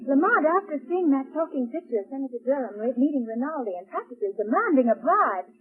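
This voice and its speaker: Earnest, questioning 1930s radio-drama heroine; high, very animated voice. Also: female voice, quick pace. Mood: incredulous. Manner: insistent, questioning. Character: earnest, doubting society woman, companion to a crimefighter. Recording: noisy, humming vintage radio, phone-like.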